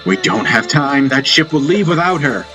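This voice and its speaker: A man voicing a brisk, worldly and dismissive male soldier in an audiobook. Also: mid-range voice, animated, over music, pitched above the performer's own voice, natural voice. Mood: urgent. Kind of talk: audiobook character dialogue.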